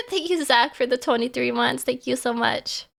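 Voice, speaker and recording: A young woman streams live in a loose, giggly, self-teasing way. A cold leaves her voice hoarse, stuffy and full of gravelly fry, sitting a little above the usual pitch for a woman. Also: measured pace, fairly bright tone. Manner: playful and giggly, teasing herself. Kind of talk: casual live-stream chat.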